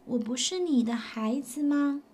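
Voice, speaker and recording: A woman voicing a young boy dinosaur in a storybook, speaking in high, pleading protests with stammers. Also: a little slow, a little mellow. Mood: tearful. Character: young male dinosaur child, upset and stubborn.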